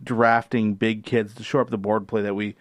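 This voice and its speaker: A man's voice: on the low side, level tone, normal speed, dry recording, studio quality. A man co-hosting a casual sports podcast, thoughtful, with many uh fillers, often posing questions to the others. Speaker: podcast co-host.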